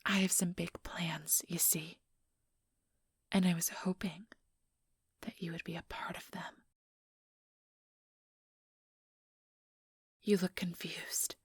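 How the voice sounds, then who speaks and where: A very breathy, whispering female vampire acted by a woman in an ASMR role-play. She speaks slowly and condescendingly, mock-sweet on the surface and coldly menacing beneath. The sound is close and dry. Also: fairly low pitch, fairly even intonation, dry recording. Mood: smug. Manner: slow, taunting, mock-sweet. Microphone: close, dry microphone.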